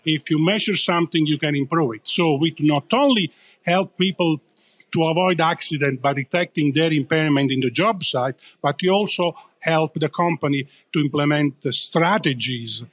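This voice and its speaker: A man's voice: a little high, moderate pace, a bit bright. A man with an Italian accent, explaining his company as a founder being interviewed, in an emphatic, explanatory way. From Italy.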